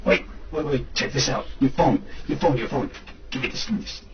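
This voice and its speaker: An actor playing a tech-savvy buddy in conversational amateur audio drama dialogue. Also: male voice, mid-range voice, fairly quick, close to an everyday voice. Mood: explaining. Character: tech-savvy buddy, a man riding along. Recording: dull, distant, noisy recording.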